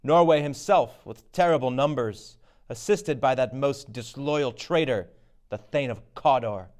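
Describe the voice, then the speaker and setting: A man's voice: mid-range voice, measured pace, darkish tone, lightly acted. A man playing a noble lord bringing war news in a stage tragedy, formal and declamatory. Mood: grave, rousing. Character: noble Scottish thane bringing news of victory.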